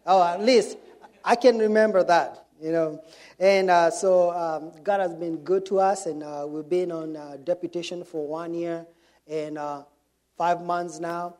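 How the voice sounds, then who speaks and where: A middle-aged man from Kenya with a Kenyan accent, telling his testimony to a church congregation in an unhurried storytelling way, with many pauses and fillers. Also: a little high, fairly even intonation. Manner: warm storytelling with frequent fillers. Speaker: missionary speaker.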